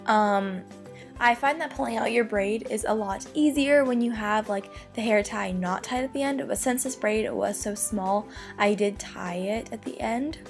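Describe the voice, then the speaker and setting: A young woman talking through a hair tutorial in a casual, chatty way, her voice a little hoarse from losing it. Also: medium pitch, moderate pace, soft background music. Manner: chatty, upbeat, many "like" fillers. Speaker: beauty vlogger. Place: bedroom.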